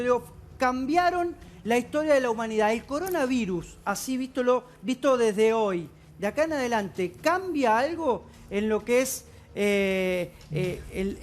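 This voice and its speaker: A woman's voice: a little high, a little mellow, a little hiss or hum. A woman on a TV panel, asking a doctor a question with many hesitations.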